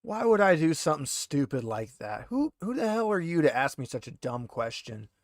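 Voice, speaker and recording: A man's voice: on the high side, big pitch swings, moderate pace, no echo, studio quality. A man voicing a short balding insurgent prisoner who is defensive and evasive, stammering indignantly.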